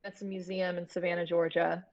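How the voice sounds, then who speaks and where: A woman's voice: on the low side, flat delivery, fairly quick, a little mellow. Woman chatting casually, slightly dull audio. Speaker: podcast guest, horror producer. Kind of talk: casual chat.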